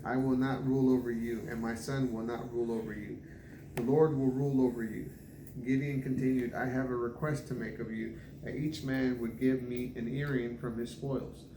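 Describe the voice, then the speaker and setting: A man reading scripture aloud in a halting way, stumbling over difficult names. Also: medium pitch. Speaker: scripture reader. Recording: echoey room with background noise.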